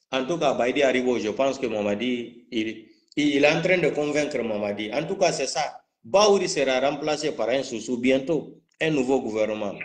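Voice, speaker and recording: A man with a Guinean French accent speaking off the cuff in live political talk, outspoken and combative, repeating phrases for emphasis. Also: mid-range voice. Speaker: political activist commentator.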